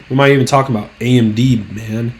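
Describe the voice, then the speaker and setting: Young male trading host, hoarse, slangy and hyped, mid-pitched, casual chart walkthrough. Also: fairly even intonation, fast talker. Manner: hyped, slangy, rambling with fillers. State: hoarse, losing his voice. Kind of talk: casual screen-share market commentary.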